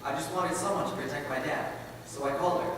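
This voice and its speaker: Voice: mid-range voice, steady tone, quick pace, a bit bright, lightly acted. A stage performer as an earnest young son of a missionary pilot, narrating and pleading in a theatrical reading. The voice sits far from the mic in a cavernous, noisy hall. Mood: earnest, reflective. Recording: very echoey hall from afar, noisy.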